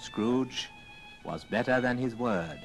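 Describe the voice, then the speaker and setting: A man giving expressive, theatrical storybook narration on an old radio drama record. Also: medium pitch, slow pace. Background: music.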